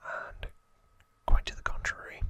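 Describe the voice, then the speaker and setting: A man hosting ASMR, narrating trivia in a breathy, hushed whisper with unhurried pauses. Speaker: ASMR host.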